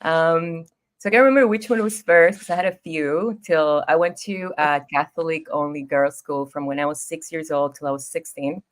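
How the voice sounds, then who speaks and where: A woman in her thirties from Ecuador with a Spanish accent, telling her story as a podcast guest in an expressive, easygoing way. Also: deep voice, moderate pace. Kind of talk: casual interview storytelling.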